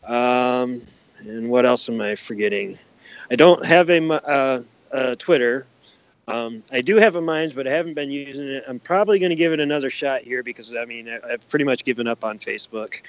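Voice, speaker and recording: A man's voice: medium pitch, a little fast, no room sound. Easygoing man on a dull, dry call. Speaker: podcast guest, author. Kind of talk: casual interview chat.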